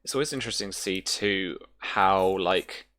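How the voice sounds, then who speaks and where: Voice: deep voice, moderate pace, fairly bright tone. A game master narrating a tabletop roleplaying game in a casual way, often thinking aloud. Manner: casual, thinks aloud while looking up rules.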